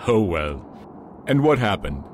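A man playing a curious friend who gently teases the priest and asks questions, in an amateur radio drama. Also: lowish voice, very animated, slow, slight background noise. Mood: teasing. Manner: gently teasing, inquisitive.

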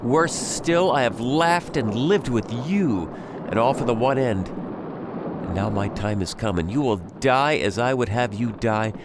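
An actor playing a bereaved husband turned vengeful pirate in a radio drama, speaking in deliberate, menacing speeches. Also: male voice, mid-range voice, lively intonation, very noisy.

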